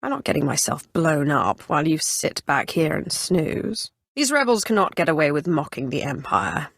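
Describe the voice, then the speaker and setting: Imperious, menacing Sith sorceress. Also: female voice, sits low, very animated, moderate pace, vocal fry, fairly bright tone, no room sound, clean studio sound, heavily acted. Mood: ruthless command. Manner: imperious, cold, clipped, threatening. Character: haughty, menacing female Sith Inquisitor, ambitious former slave. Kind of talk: video game character dialogue.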